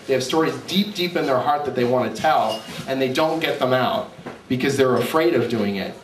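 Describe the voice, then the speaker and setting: A man giving an informal talk as an author and comic artist, candid, animated and self-deprecating. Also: mid-range voice. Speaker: author and comic artist presenting his work. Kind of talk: informal live talk with slides. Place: indoor cafe event space. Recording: roomy, distant mic, faint background noise.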